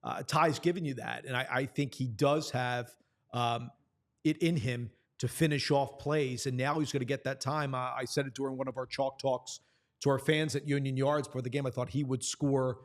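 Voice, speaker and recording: A man's voice: medium pitch, steady tone, moderate pace. A man who works as a play-by-play announcer, talking earnestly and supportively about players in a sports conversation.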